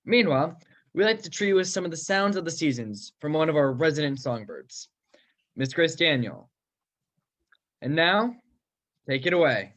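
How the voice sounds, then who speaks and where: Old-fashioned radio host, man, slightly high and punchy, home recording with light hiss. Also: lively intonation, normal speed, long pauses, fairly bright tone. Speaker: announcer and host. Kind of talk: 1940s radio show announcing.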